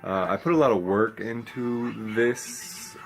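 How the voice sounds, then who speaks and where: A young man vlogging in a casual, low-energy, nearly monotone way, with many ums and restarts. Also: slow, creaky. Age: young adult. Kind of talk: casual vlog talk to camera.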